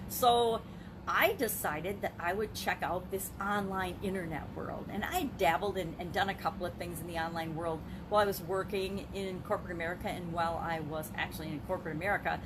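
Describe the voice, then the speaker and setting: An older woman talking candidly and off the cuff to camera as a business vlogger, rambling a bit, with emphatic phrasing. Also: lowish voice. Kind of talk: unscripted talk to camera on a live video. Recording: clearly noisy background ambience.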